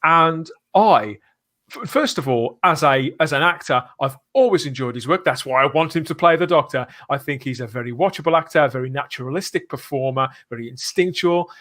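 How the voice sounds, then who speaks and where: A man hosting a live fan podcast, speaking in an earnest, emphatic way with deliberate phrasing. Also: a little high, lively intonation, a bit bright. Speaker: podcast host.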